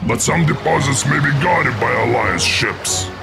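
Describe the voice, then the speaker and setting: A man playing a proud, fierce orc war leader in a fantasy game, with gravelly, formal, declamatory delivery. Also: mid-range voice, expressive, unhurried. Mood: resolute briefing. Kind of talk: fantasy game cutscene acting. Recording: loud music under the voice.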